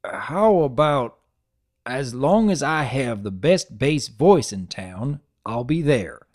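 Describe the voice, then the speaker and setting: A woman voicing a shy, slow-talking farm stallion in a story reading, speaking in a halting, folksy way. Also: rumbling low, lively intonation, a little mellow, exaggerated voice. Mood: hesitant. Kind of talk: dramatic audiobook reading.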